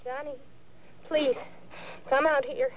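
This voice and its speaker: A woman's voice: high-pitched, fairly even intonation, slow, lo-fi sound, background noise. An actress playing an anxious, earnest young woman in a 1960s radio drama, with a fretful manner. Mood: distressed. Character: anxious young woman, investigator's sweet date. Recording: thin old radio recording with music.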